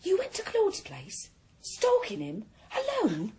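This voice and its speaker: A gangster's worried sweetheart in a radio thriller. Her high voice moves very dramatically up and down at an unhurried pace, tender yet doubting. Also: huge pitch swings, noisy background, heavily acted. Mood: worried, pleading. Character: anxious, loving girlfriend of a criminal, gently sceptical.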